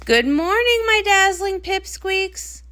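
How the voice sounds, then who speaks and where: High-pitched woman acting a young pony princess, vain, perky, gushing, very lively, storybook cartoon style. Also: takes it slowly, heavily acted. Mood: showy, sweet. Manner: theatrical, gushing.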